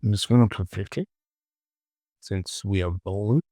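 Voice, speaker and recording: A man with a gravelly voice casually narrating a software tutorial, muttering short, repeated phrases to himself as he works. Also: lowish voice, fairly even intonation, moderate pace, no echo, studio quality. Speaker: tutorial host.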